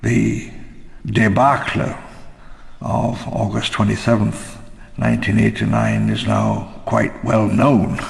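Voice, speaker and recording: An actor playing a wistful elderly park founder, narrating his memoirs in a measured, theatrical, ruminative way for a game audio log. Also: fairly low pitch, full character voice. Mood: rueful, somber. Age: older. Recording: long reverb under clear music.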